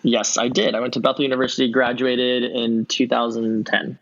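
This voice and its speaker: A man in his thirties from Southern California, answering interview questions in a relaxed way, with plenty of ums and easy laughs. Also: mid-range voice, normal speed, creaky, lo-fi sound. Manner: relaxed, many ums and uhs, laughs easily. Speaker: podcast guest, entrepreneur.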